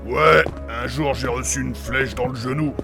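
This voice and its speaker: An actor playing a stubborn, hot-tempered adventurer, blunt and slangy, in a comic fantasy audio drama. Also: male voice, medium pitch, fairly even intonation, moderate pace, creaky, over music, close to an everyday voice. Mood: dismissive, tough. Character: gruff, stubborn, hot-tempered male adventurer, proud and coarse.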